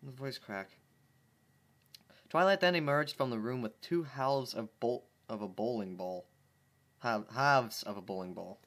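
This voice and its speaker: A man's voice: medium pitch, a little slow, a bit bright. A man reading fan fiction aloud in a casual, wry way, tripping over words and breaking off into joking asides. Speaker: fanfiction reader. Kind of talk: casual dramatic reading with commentary.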